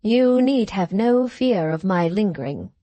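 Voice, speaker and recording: Woman reading a restrained, dignified young man; mellow, dry. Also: mid-range voice, a little slow, no echo, natural voice. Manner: calm, restrained.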